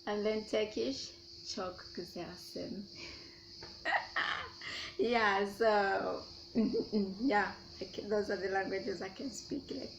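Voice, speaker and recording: A woman's voice: medium pitch, slow pace, creaky, long pauses, slight background noise, low-quality recording. A young Zambian woman with a Zambian accent, chatting casually as she answers her own questions in a vlog. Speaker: YouTuber.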